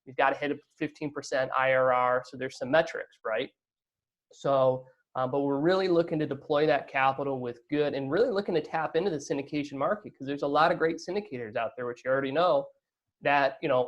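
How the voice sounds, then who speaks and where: A man's voice: medium pitch, steady tone, quick pace, highs cut off. A man answering as a guest in a business interview, talkative and explanatory, often checking that he is understood. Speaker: interview guest, real estate fund operator.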